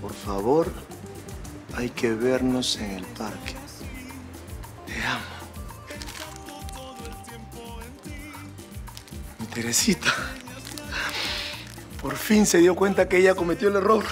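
An actor plays a stubborn, prideful Peruvian mechanic pining for his love. His voice is mid-pitched and comic. Music plays loudly under him. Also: measured pace, long pauses, close to an everyday voice. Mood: hopeful. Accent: Peruvian Spanish. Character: proud stubborn lovesick mechanic, middle-aged man. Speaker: actor in a TV comedy series. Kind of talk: sitcom acting.

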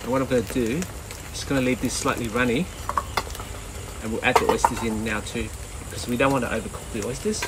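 A relaxed man, talking through a recipe in a casual, chatty way. His voice sits at an ordinary middle pitch. The camera mic picks him up outdoors, with loud background noise behind him. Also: a little fast, pauses often. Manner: relaxed, chatty, with frequent ums. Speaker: cooking vlogger. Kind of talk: casual cooking commentary. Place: outdoor garden kitchen and rocky bay shore. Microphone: camera mic at medium distance.

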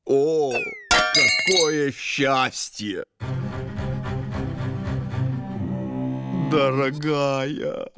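A man voicing an aggrieved, fussy double bass husband in a cartoon, with a theatrical, drawn-out, grumbling delivery. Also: over loud music. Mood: delighted. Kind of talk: animated cartoon voice acting.

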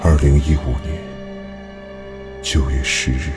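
Male drama narrator, extremely deep, flat delivery, loud music behind. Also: unhurried. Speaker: narrator announcing date and time. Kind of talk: slow dramatic scripted announcement.

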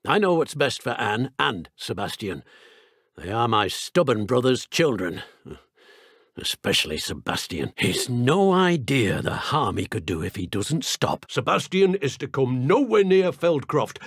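An actor playing a stern, hot-tempered older British wizard uncle in a video game, gravelly and husky, curt and scolding. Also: male voice, medium pitch, a bit bright, no echo, clean studio sound, exaggerated voice. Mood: firm, disapproving.

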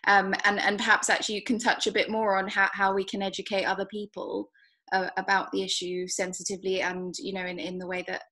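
A woman's voice: mid-range voice, monotone, brisk, sharp tone. A woman moderating a panel on a video call, polite and facilitating.

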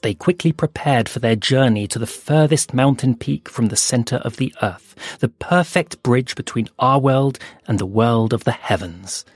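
A man narrating an audio fable in a slow, hushed storytelling manner with dramatic pauses. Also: mid-range voice, dry recording. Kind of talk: scripted audio fiction narration. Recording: soft music underneath.

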